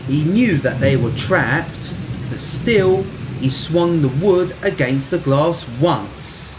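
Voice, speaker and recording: A man's voice: on the high side, animated, slow, background noise. A man narrating a scripted Halloween radio story in a dramatic style.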